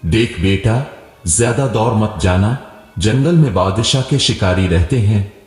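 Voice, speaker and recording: A man's voice: fairly low pitch, lively intonation, measured pace, darkish tone. A man voicing a worried, wry village mother with an anxious way of talking, in a storybook tale. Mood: worried, pleading. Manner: anxious, muttering. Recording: roomy echo with long reverb, music behind.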